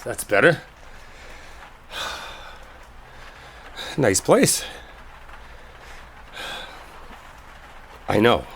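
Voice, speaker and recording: A man's voice: medium pitch, sing-song, normal speed, gravelly, a bit bright, slight background noise. A man playing an exasperated, sarcastic, stubborn guy in a dramatic roleplay audio, talking impatiently with rain behind him. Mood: wry, hurried. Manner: impatient, sardonic, conversational, with mild swearing. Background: rain storm ambience.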